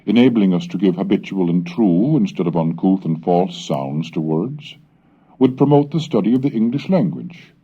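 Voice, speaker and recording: A man giving a formal, measured scholarly reading, reciting old verses slowly and carefully, on a dull 1970s cassette recording. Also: deep voice, expressive, breathy edge. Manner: measured, deliberate, slows and lifts for the old tongue. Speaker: scholarly reader.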